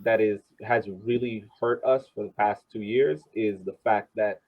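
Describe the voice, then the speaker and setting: A man's voice: fairly low pitch, fairly even intonation, unhurried, slightly rough, phone-quality sound. A man discussing soccer on a casual podcast, speaking emphatically and often repeating words as he goes. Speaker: podcast host and soccer supporter.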